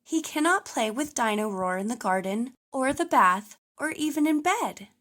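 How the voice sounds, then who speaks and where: A woman reading a children's story aloud as host, with enthusiastic, clearly phrased narration for toddlers. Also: sits high, expressive, normal speed, a bit bright.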